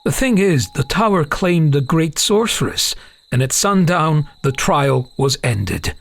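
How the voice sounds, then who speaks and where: A man narrating a scripted fantasy audiobook as a dramatic, measured, solemn storyteller. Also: fairly high pitch, big pitch swings, vocal fry, dry recording.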